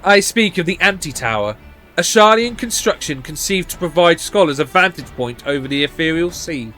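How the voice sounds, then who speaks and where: A man's voice: on the high side, animated, pushed and bright, faint music behind. A man voicing a composed, learned mage from a fantasy game, speaking in solemn, courteous, archaic phrases. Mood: composed, formal. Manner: archaic, formal, measured phrasing.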